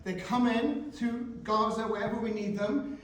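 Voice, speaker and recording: A man in his forties giving a talk to teenagers in a school hall, animated and questioning the audience. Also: high-pitched, moderate pace. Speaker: guest speaker. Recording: echoey hall, speaker far from mic.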